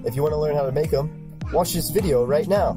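A young man chatting casually as a travel vlogger, joking and relaxed, filmed on a handheld camera. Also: fairly high pitch, fast talker, over music. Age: young adult. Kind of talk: casual vlog commentary. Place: sleeper train cabin and small kitchen.

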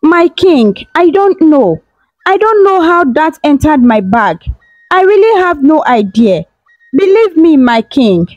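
A desperate young woman in a storybook, begging through tears, her voice pitched high and pleading, as a woman narrator puts her on. She sounds close and dry. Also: very animated, measured pace, a little mellow, no room sound, dull audio, soft background music. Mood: tearful pleading. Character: young accused servant woman, pleading and tearful.

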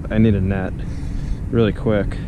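A man fishing from a small boat, giving excited, chatty commentary into a head-mounted camera. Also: lowish voice, unhurried, narrow, tinny audio. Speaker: angler filming his trip. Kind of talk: casual running commentary. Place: small fishing boat outdoors on open water. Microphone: head-mounted action camera. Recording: action camera outdoors with boat and wind noise. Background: wind, water and boat noise.

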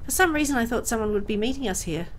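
A woman's voice: on the high side, lively intonation, quick pace, slight background noise. A woman reading a horror visual novel aloud as dramatic story narration.

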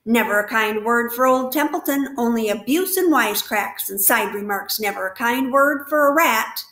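A woman's voice: on the high side, expressive, moderate pace, a bit bright. A woman voicing a lazy, grumpy male rat who grumbles and mocks with sarcasm, heard with a roomy echo. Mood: mocking.

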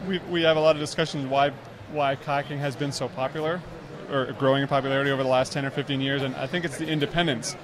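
A man in his thirties hosting a trade show interview, speaking into a handheld mic at an indoor booth in a brisk, friendly way. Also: medium pitch, fairly even intonation, a crowd in the background. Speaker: host.

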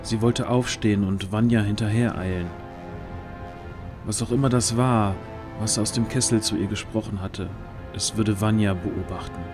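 A man's voice: lowish voice, steady tone, moderate pace, music in the background. A man narrating a scripted fantasy audio drama as its storyteller.

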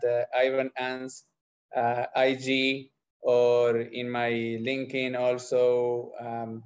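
A middle-aged man with an Argentine accent and Spanish as his first language, answering interview questions haltingly as he searches for words. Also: medium pitch. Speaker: entrepreneur, podcast guest. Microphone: video call microphone. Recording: dull, lo-fi video call.

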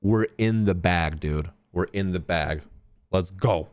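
A man's voice: low voice, slow pace, a little mellow, no echo. A young man streaming a game, reacting casually with jokey, slangy commentary. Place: indoor streaming room.

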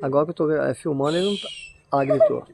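A man with a southern Brazilian accent and a fairly high voice narrates as he walks between animal enclosures, recording on his phone. He speaks to his viewers with eager, repeated exclamations of awe. Outdoor noise and animal sounds stay loud behind him. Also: quick pace, gravelly. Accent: Brazilian Portuguese, southern Brazil. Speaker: vlogger filming a zoo visit. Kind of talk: casual handheld narration. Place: outdoor wildlife park with enclosures. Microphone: phone camera held while walking. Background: outdoor ambience and animal sounds.

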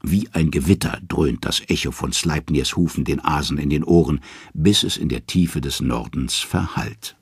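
A man narrating a mythic tale in dramatic, scripted storytelling style with a gravelly, husky voice. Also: very deep voice, normal speed, no room sound, studio quality.

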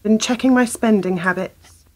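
A woman's voice: medium pitch, moderate pace, darkish tone, slight background noise, lightly acted, rough recording. An actress playing a wary, sardonic young working woman in a radio play, curt, dry and challenging. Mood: wary, questioning.

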